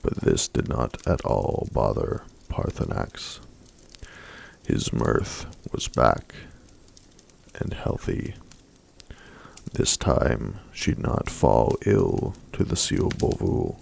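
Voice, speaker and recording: Deep gravelly guy reading a story real slow and soft, breathy whispery asmr. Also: very animated. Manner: slow, soft-spoken, mostly unvoiced whispery delivery.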